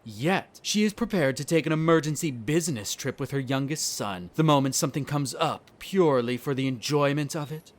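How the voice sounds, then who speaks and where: A man's voice: medium pitch, expressive, fairly quick, few pauses, close to an everyday voice. A man playing a smug, disdainful vampire noble in a scripted roleplay audio drama, speaking formally with sardonic condescension. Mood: suspicious, explaining.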